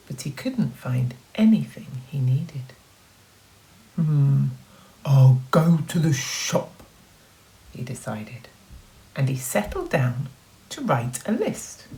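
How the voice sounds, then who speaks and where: A woman with a low voice reads a story to children like a teacher. Her pitch swings widely and she takes her time, expressive and encouraging as she speaks straight to the young listeners. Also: poor audio. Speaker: primary school teacher. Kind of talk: children's story read aloud with questions to listeners.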